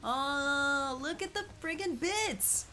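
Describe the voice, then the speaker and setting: Gravelly bright-voiced Canadian woman gamer. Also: sits high, lively intonation, a little hiss or hum. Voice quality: gravelly today. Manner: playful, joking, quick reactions. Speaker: let's play host. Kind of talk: casual gaming chat.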